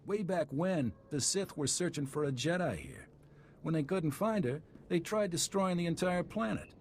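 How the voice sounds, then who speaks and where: A man's voice: fairly high pitch, animated, moderate pace, darkish tone, a little hiss or hum. An actor playing a cynical military captain in a video game, blunt and world-weary as he gives orders. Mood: grim. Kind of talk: video game dialogue acting.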